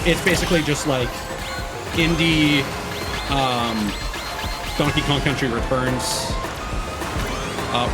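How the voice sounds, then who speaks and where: A man doing casual gameplay commentary as a livestreamer, rambling and easily sidetracked, with casual swearing. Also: mid-range voice. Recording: game music playing behind voice. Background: video game music.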